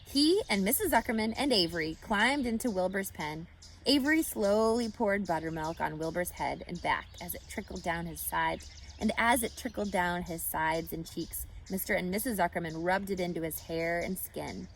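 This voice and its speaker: A woman reading a children's story aloud expressively, pausing to explain and ask questions like a teacher. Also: on the low side, slight background noise, poor audio. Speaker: storybook reader.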